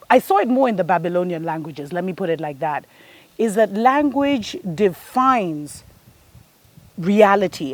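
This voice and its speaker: A woman's voice: medium pitch, dry recording. Earnest woman preaching to viewers. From Kenya. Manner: earnest and preachy, addresses listeners as "family". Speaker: host of a spiritual show. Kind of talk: spiritual monologue to viewers.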